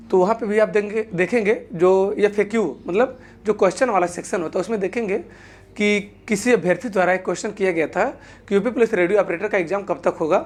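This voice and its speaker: A woman's voice: medium pitch, a little mellow. Woman presenting an informal explainer. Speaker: exam-news video presenter. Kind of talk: informal explainer addressed to viewers.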